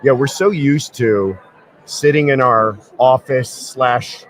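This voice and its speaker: A man who is a lawyer and podcast host, chatting casually as a guest at a convention booth and telling lively stories. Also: medium pitch, slow. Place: busy convention floor.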